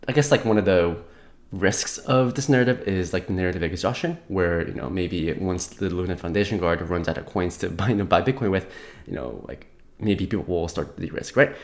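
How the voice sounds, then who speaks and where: A man's voice: low voice, a little mellow. A young man giving a casual market update while slightly sick, rambling with many fillers and restarts. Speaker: crypto market commentator.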